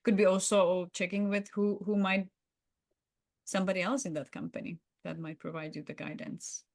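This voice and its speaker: A woman's voice: medium pitch, flat delivery, normal speed, no echo, studio quality. A woman co-hosting a podcast in unscripted reflective discussion, agreeable and affirming as she adds her thoughts. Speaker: podcast co-host.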